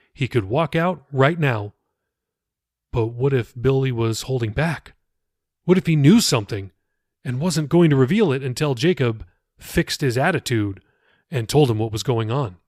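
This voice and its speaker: A man's voice: mid-range voice, dry recording, clean studio sound. A man, an author, reading his novel aloud as scripted audiobook narration.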